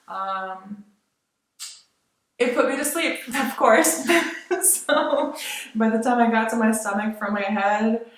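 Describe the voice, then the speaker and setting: A young woman from Lithuania chatting casually as a vlogger, rambling with many fillers and soft laughs. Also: medium pitch. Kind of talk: casual chat. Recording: very echoey room.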